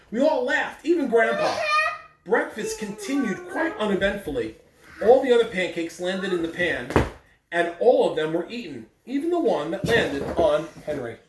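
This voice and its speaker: A man talks to schoolchildren in a simple, direct way, as for morning announcements or a read-aloud. He is in an echoey room, a bit far from the microphone, with faint noise behind him. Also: high-pitched. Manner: addresses children simply and directly. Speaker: school staff announcer and story reader.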